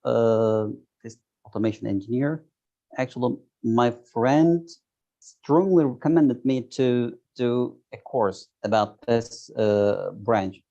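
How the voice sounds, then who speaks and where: Gravelly Turkish man on a video call. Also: mid-range voice, mellow. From Turkey. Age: 30s. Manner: halting, searching for words. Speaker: guest, software tester. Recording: dull lo-fi video call audio.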